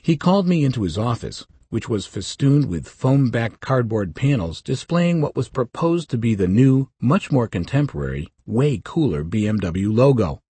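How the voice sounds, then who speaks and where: Older Swiss man narrating a memoir, dark-toned. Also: mid-range voice, very animated, normal speed, hardly any pauses, husky, no echo. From Switzerland. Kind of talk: scripted first-person narration.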